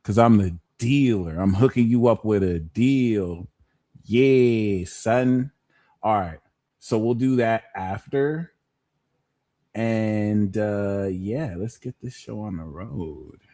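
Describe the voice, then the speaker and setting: A man's voice: on the low side, slow, dark tone, no echo. Slangy, joking male tarot host, dry close sound. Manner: playful, slangy, jokes between readings. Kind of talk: live pick-a-card tarot reading.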